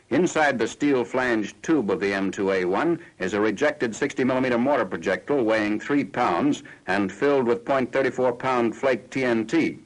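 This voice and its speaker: An American man reading scripted 1940s military newsreel narration in a formal, factual, measured announcer style. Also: medium pitch. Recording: noisy 1940s newsreel film soundtrack.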